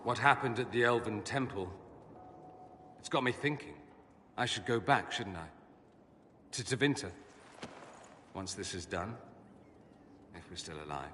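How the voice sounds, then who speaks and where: A thoughtful male mage with a bright, crisp voice. He often sinks into a murmur as he muses. Long reverb and faint ambience surround him. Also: on the low side, expressive, unhurried, long pauses, a little hiss or hum. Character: earnest male mage, proud but reflective.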